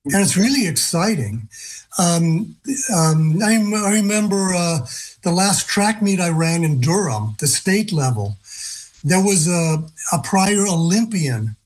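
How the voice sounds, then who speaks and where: A man in his early seventies with a somewhat high voice for a male speaker. He talks conversationally as an interview guest, in a halting way with frequent hesitations. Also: animated, breathy edge, poor audio. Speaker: interview guest, senior games athlete.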